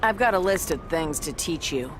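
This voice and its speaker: A woman's voice: sits low, lively intonation, normal speed, background noise. A woman playing a confident, wry superheroine mentor in a video game, teasing as she talks. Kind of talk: video game character dialogue. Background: loud music.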